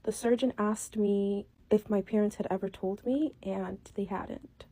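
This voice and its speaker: Twenties woman, mellow dry voice, plain vlog delivery. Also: mid-range voice, unhurried, dry recording. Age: 20s. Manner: matter-of-fact, steady. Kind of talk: direct-to-camera vlog.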